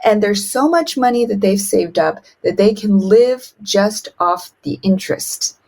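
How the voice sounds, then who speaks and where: Animated woman telling her life story. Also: measured pace. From China. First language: Mandarin. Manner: animated storyteller, acts out quotes with big pitch jumps. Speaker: guest. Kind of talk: podcast interview storytelling.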